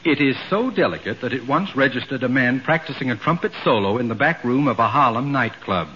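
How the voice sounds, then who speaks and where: A man's voice: medium pitch, lively intonation, a little fast, bright, forceful tone. An actor playing a pedantic, earnest weatherman who brags with pompous, wordy precision in a 1940s radio comedy. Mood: officious. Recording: dull, hissy 1940s radio recording.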